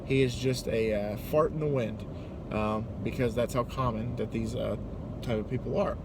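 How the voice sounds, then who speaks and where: A man's voice: medium pitch, fairly even intonation, moderate pace. An American man casually telling a personal story, hesitant and sweary, still a bit worked up. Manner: hesitant, sweary, acting out dialogue. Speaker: storytelling vlogger and delivery driver. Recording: noisy, car-like ambient background noise.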